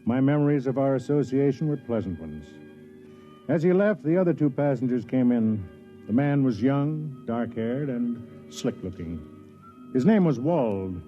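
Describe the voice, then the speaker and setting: An actor playing a kindly, self-deprecating older man, a senior officer who also narrates, in 1950s radio drama style with a measured, explanatory manner. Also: mid-range voice, animated, lightly acted. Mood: reflective. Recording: dull 1950s radio broadcast, music behind.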